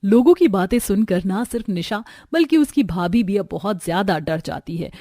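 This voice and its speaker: A woman's voice: medium pitch, lively intonation, moderate pace, dark tone, dry recording. A woman storyteller reading scripted narration for a cartoon family story.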